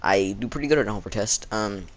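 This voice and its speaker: A teenage boy telling stories in a casual, rambling, halting way, with slangy wording and a sore throat he often clears. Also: lowish voice. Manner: halting, informal, slangy, dramatizing quotes. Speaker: video storyteller.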